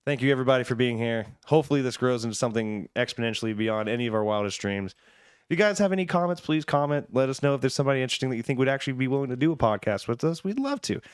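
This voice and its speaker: Male podcast host, medium pitch, dry sound, informal and slangy, casual chat. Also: expressive, rapid-fire, smooth, no echo. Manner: informal, slangy, says dude and man often.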